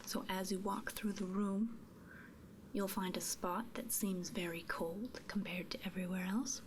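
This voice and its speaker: A woman performing an ASMR roleplay in a soft, breathy whisper, gently inquisitive and murmuring, close to the microphone. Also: medium pitch, steady tone, a little slow, background noise. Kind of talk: whispered ASMR roleplay as a ghost removal technician.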